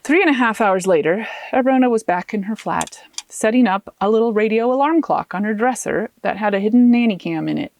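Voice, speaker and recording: A woman author reading her own fiction aloud as an expressive audiobook narrator. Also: mid-range voice, brisk, few pauses.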